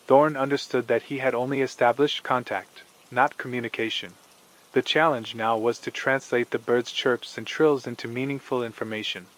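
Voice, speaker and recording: A man narrating a scripted horror story in a measured, formal storytelling manner. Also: mid-range voice, poor audio.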